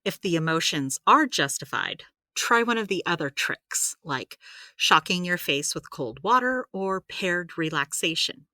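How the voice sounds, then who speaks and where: An actress playing a practical, gently caring supernatural healer who explains things in a chatty, rambling way in an audio drama. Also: female voice, lowish voice, expressive, unhurried, dry recording. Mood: instructive.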